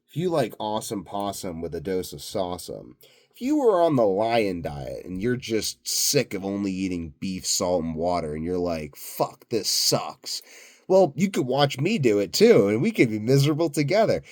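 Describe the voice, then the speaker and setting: A man's voice: on the low side, big pitch swings, a little slow, a little mellow. A tired, sleepy man rambling through an unscripted video diary in a dry, tongue-in-cheek, grandiose way. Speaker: vlogger, channel host.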